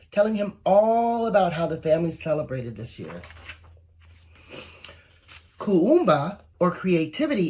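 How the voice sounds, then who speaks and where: A woman reading a picture book aloud to young children, expressive and encouraging, with asides to the listeners. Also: fairly low pitch, slightly rough. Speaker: volunteer story reader.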